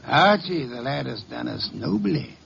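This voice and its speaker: An actor playing a lofty, unhurried detective genius with formal, pompous diction in an old radio drama. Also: male voice, mid-range voice, very animated, noisy background, heavily acted. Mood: dryly amused. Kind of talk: 1940s-50s radio drama acting. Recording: gravelly-sounding muffled old radio recording.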